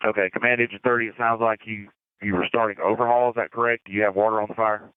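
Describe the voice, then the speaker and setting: A man's voice: on the low side, flat delivery, fast, smooth, no echo. A man working as a fire incident commander, giving clipped, procedural instructions over a two-way radio. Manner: procedural, reads back messages. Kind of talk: clipped radio dispatch communication. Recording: phone-like emergency radio transmission.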